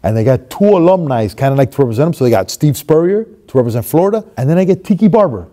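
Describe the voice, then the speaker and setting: Miami guy in his 30s telling a story on stage, far camera, goes quiet then loud. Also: fairly high pitch, fast talker. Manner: animated, swings from hushed to loud. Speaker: motivational speaker. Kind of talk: storytelling monologue. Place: stage with red curtain, seated.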